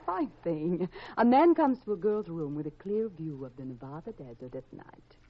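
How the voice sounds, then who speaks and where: An actress playing a flirtatious, worldly and evasive femme fatale in a 1950s radio crime drama, coy and teasing, with deliberate hesitations. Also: female voice, fairly low pitch, big pitch swings. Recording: dull vintage radio recording.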